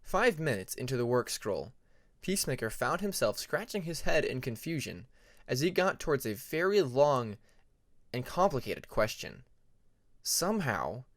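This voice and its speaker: A man's voice: medium pitch, big pitch swings, normal speed, a bit bright, dry recording, clean studio sound. A man reading a scripted story as an audiobook narrator.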